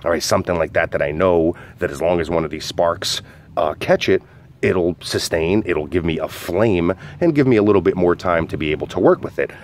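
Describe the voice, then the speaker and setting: Man, deep voice, backyard demo, loose talk with fillers, outdoors. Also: brisk. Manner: relaxed, filler-heavy explaining. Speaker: outdoor skills instructor. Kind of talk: casual hands-on demonstration. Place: backyard fire pit, outdoors.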